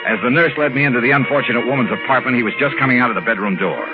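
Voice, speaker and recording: An American actor playing a tough, skeptical insurance investigator in clipped, sardonic 1950s radio drama style. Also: male voice, medium pitch, racing, natural voice. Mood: brisk, wry. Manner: clipped, sardonic, hard-boiled. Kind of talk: 1950s radio drama acting and first-person narration. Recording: dull vintage radio recording, music behind.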